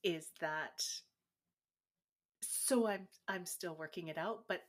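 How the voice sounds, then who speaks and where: A woman's voice: on the low side, slow pace. A woman guest who grew up in the United States, reflecting candidly and sometimes animatedly in a casual interview. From United States, born in Taiwan. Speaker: guest author.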